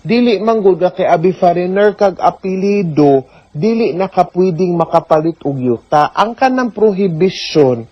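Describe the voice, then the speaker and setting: A woman's voice: lowish voice, expressive, moderate pace, no room sound. A woman with a Cebuano accent hosting a radio advice show, chatty and conversational, with asides and tag questions. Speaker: radio advice host, attorney. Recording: dull lo-fi radio recording.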